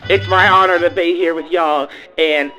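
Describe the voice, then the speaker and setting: A man's voice: on the high side, fairly even intonation, moderate pace, pushed and bright, poor audio. A man voicing a vain, needy young beauty queen in a theatrical comedic radio play, swinging from sweet to hysterical. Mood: gracious. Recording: music behind the voice.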